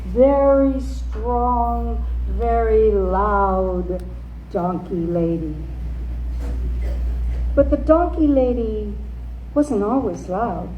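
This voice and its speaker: Slow, high-voiced woman storyteller. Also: phone-quality sound. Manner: deliberate, expressive, suspenseful. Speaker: storyteller reading a book aloud. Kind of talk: dramatic scripted narration. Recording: faint background noise.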